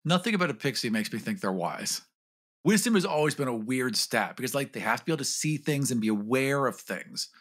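A man's voice: medium pitch, expressive, brisk, a bit bright. A man talking casually and jokingly as part of a podcast discussion.

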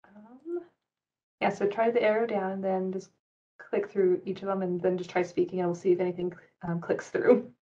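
A woman's voice: mid-range voice, level tone, normal speed, warm tone, dull audio. A woman in her thirties hosting a library event, patiently walking a guest through audio settings on a video call over a headset. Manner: patient, helpful, coaxing. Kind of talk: video call tech support chat. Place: indoor studio with a library banner backdrop.

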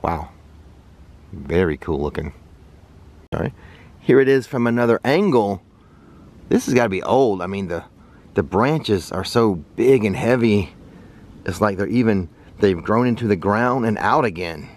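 A chatty American man in his forties gives a relaxed outdoor tour, his lowish voice bouncing up and down with lively swings of pitch. He talks straight to the camera held at arm's length, open air around him. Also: a little slow, long pauses. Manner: chatty, upbeat, pointing things out to viewers. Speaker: travel vlogger. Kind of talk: casual travel vlog narration. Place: outdoors at a riverside park and on a kayak.

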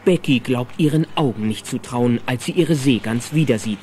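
A man's voice: medium pitch, normal speed, a little mellow, a little hiss or hum, lightly acted. A man narrating a children's adventure radio play in a dramatic, playful manner. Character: lively storyteller of a children's adventure. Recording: music and engine sounds behind.

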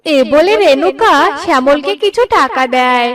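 A woman's voice: high voice, expressive, moderate pace, distant mic, a crowd in the background. A woman reading scripted narration for a cartoon story.

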